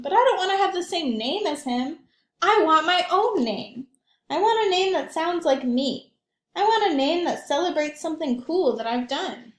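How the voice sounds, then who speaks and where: A woman's voice: high-pitched, voice pushed up. A woman reading aloud as a spirited young boy in a storybook, earnest and emphatic. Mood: frustrated, determined. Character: spirited young boy longing for his own name. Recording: echoey room.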